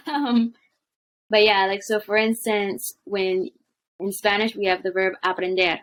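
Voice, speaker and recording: A woman's voice: mid-range voice, fairly even intonation, unhurried, a bit bright. Chatty young woman on a video call. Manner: chatty, many fillers, laughs often. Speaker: language coach, guest. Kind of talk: casual interview chat. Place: home room with bookshelves.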